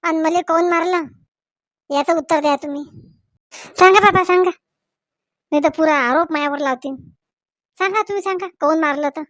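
A woman's voice: extremely high pitch, moderate pace, long pauses, a bit bright, dry recording. A woman voicing a combative, scolding village wife and mother-in-law in a comedy cartoon, with a Varhadi Marathi accent and melodramatic, exclamatory delivery. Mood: indignant, demanding. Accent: Varhadi Marathi from Vidarbha.

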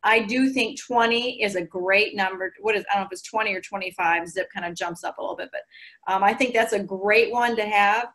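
A woman in her forties giving chatty, animated coaching advice over a video call from her living room. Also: medium pitch, a little fast. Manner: chatty and animated, gestures a lot. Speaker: guest recruiter. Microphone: video call laptop mic.

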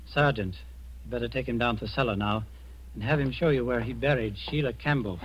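An actor playing a composed British intelligence officer, urbane and sardonic, in 1940s radio drama style. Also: male voice, medium pitch, fairly quick, husky, natural voice. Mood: wry, urbane. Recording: dull, muffled 1940s radio recording.

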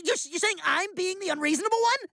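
An actor playing an excitable, indignant bachelor in an animated sitcom, with an exasperated, emphatic way of talking. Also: male voice, very high voice, fast, dry recording, clean studio sound, heavily acted.

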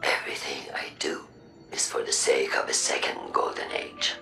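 A woman playing an imperious machine-mind villain in a video game, grandiose and coldly persuasive, in a breathy, processed voice. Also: a bass voice, expressive, a bit bright, exaggerated voice. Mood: coaxing, lofty. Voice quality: breathy, whispery, gravelly. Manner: measured, grandiose, deliberate. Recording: echoing, distant, with music behind.

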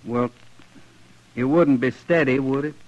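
A meek, simple-minded little drifter acted by a man in an old radio western. His voice is medium-pitched and artless, humble in every phrase, on a muffled vintage recording. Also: measured pace, lightly acted. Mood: matter-of-fact. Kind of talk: 1950s radio western acting.

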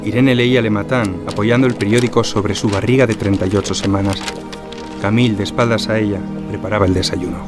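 A man's voice: lowish voice, fairly even intonation, brisk, natural voice. A man narrating a scripted audio drama as its storyteller. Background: loud music.

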